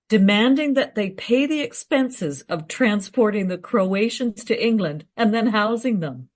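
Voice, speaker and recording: Radio play researcher, female actor: knowledgeable, frank, brisk, very expressive pitch swings. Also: lightly acted. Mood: amused. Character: well-informed, matter-of-fact female history researcher.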